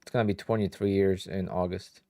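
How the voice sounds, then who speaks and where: A man in his thirties with a Polish accent talks casually to a live-stream audience, tired and a little sleepy. His voice sits low with a gravelly edge. The sound is close and dry, with mouse clicks now and then. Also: steady tone, fairly quick, dark tone, no room sound. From Poland. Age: 30s. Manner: chatty, answers viewer questions with many "like"s and "you know"s. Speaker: day trader streaming live.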